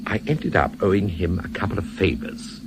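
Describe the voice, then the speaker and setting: An urbane low-voiced Satan, swooping intonation. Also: male voice, sing-song, moderate pace. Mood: explaining, genteel. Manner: old-fashioned, politely fussy, matter-of-fact about the uncanny. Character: urbane, old-fashioned Satan in a borrowed body. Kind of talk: radio play acting. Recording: noisy 1970s radio drama recording.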